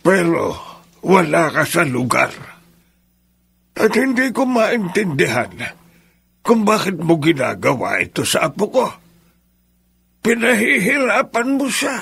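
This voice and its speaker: A very gravelly old grandfather in a Filipino radio drama. He is protective and earnest, speaking slowly and firmly in a somewhat high male voice. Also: very animated, full character voice. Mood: indignant, reproachful. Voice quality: gravelly, creaky. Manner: measured, earnest, gently firm. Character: kindly, protective old grandfather, devout and principled.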